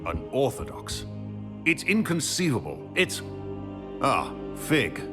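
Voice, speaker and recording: Slow, blustering wizard. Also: male voice, mid-range voice, huge pitch swings, music in the background. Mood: incredulous. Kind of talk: video game voice acting.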